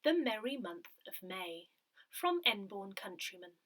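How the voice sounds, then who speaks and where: A woman reading written newsletter articles aloud in a measured, expressive way, as scripted narration. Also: medium pitch. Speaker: newsletter reader.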